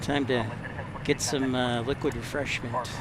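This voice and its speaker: A man from Texas hosting a casual livestream, chatting and reading out messages with frequent halting pauses. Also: mid-range voice, flat delivery. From Texas, United States. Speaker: livestream host. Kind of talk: casual live commentary, reading and answering chat. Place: outdoors near an airport. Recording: loud outdoor vehicle and ambient noise.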